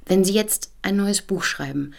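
A woman's voice: mid-range voice, moderate pace, gravelly, natural voice. An actress in a radio drama playing a chatty female visitor in an informal, conversational manner. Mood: chatty, amused. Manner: conversational, anecdotal. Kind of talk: German radio drama dialogue.

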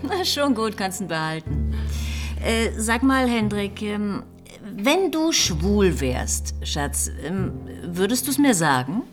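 A woman's voice: medium pitch, very animated, takes it slowly, music in the background. An actress playing a middle-aged police inspector and mother in a radio drama, direct and insistent in her questioning. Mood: tentative, caring. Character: probing female police inspector, mother of grown son. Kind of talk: naturalistic German radio drama acting.

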